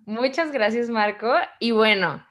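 A woman co-hosting a podcast over a video call. Her voice sits fairly high, and her delivery is upbeat and chatty as she reads an introduction and asks questions. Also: unhurried, fairly bright tone. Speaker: podcast co-host. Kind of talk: reading a guest introduction, then interviewing.

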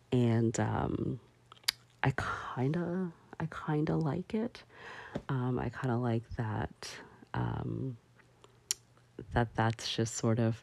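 Slow, gravelly-voiced woman talking casually. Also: a bass voice, warm tone. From parents from Barbados. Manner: slow, halting, many fillers. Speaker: podcast host. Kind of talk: casual solo talk.

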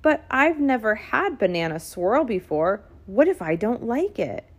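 Storybook dad tiger, done by a woman: silly, jokey, exaggerated, with wide pitch swings. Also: big pitch swings, a little slow, breathy edge, exaggerated voice. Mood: mock-doubtful. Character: playful, silly father tiger.

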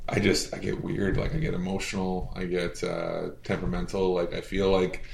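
A man's voice: deep voice, little pitch movement, normal speed, creaky, darkish tone, roomy sound. A man in his twenties talking earnestly and self-deprecatingly in a casual chat. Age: 20s.

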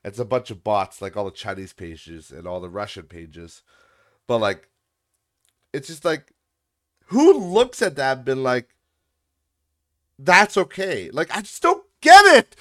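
A man's voice: mid-range voice, very animated, unhurried. A young man with a nasal voice hosting a solo podcast, ranting with exasperation, profanity and lots of restarts. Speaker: podcast host.

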